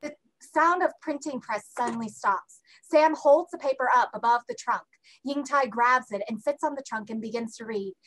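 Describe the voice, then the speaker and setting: A woman's voice: on the high side, moderate pace, a bit bright, no room sound, low-quality recording. A woman narrating stage directions in a scripted play reading. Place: home room, video call.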